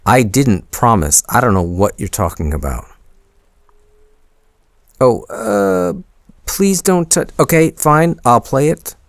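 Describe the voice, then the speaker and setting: A man's voice: mid-range voice, lively intonation, takes it slowly, long pauses, no room sound, studio quality. A man playing a shy, smitten young man in an intimate boyfriend roleplay audio, speaking hesitantly with bashful stammers. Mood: flustered, playful.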